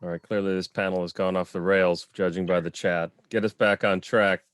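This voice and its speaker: A man moderating a panel over a video call, keeping the discussion moving in a relaxed way. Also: low-pitched, a little fast, smooth, no echo, clean studio sound.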